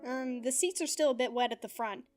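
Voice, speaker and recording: A woman voicing a nervous but hot-tempered young man who yells in anger. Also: fairly high pitch, brisk, faint music behind, natural voice. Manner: shouting in rage, nervous small talk. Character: nervous, furious young man hunting aliens.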